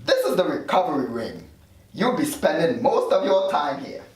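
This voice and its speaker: A man's voice: fairly high pitch, big pitch swings, moderate pace, a crowd in the background. A man playing an evasive afterlife doctor in his thirties, read at a script table read. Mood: matter-of-fact. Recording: very echoey room, distant mic.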